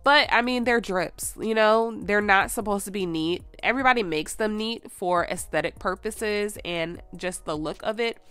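Hoarse, stuffed-up woman vlogger. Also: mid-range voice, normal speed, hardly any pauses, a bit bright, no echo. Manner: chatty and rambling, full of "like" and "you guys". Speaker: nail art vlogger. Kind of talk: casual nail art tutorial.

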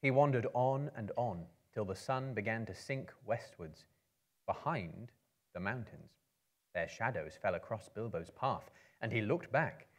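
A man in his thirties giving a measured, expressive live dramatic reading as a storyteller. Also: on the low side. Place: indoor book-lined venue before a small live audience.

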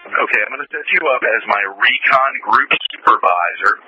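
A man's voice: mid-range voice, lively intonation, fairly quick, sharp tone, husky. A man speaking as a fire incident commander in businesslike, directive radio traffic. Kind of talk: emergency radio dispatch traffic. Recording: noisy dull emergency radio transmission.

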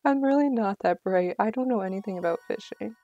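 A woman streams a game with relaxed, off-the-cuff commentary. Her voice is mellow, with plenty of vocal fry, and sits close and dry on the recording. She talks in a chatty, apologetic way. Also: medium pitch, fairly quick, no room sound, dull audio, faint music behind. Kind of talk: casual live gameplay commentary.

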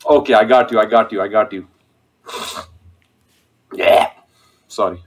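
A young man on a video call through a gaming headset, joking and boasting in a loud, cocky way. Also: on the low side, unhurried. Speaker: online date contestant. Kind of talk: casual video call banter.

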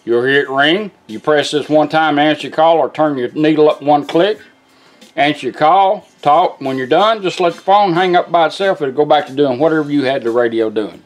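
A man's voice: on the high side, fairly quick. A middle-aged man gives an informal, plain-spoken product demonstration, explaining each step in turn. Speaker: vintage car radio technician demonstrating a product. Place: small indoor workshop at a bench.

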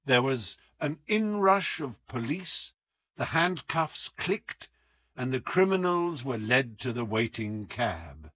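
A man's voice: mid-range voice, very animated, slow pace, fairly bright tone, no echo. A man giving scripted literary narration for an audiobook.

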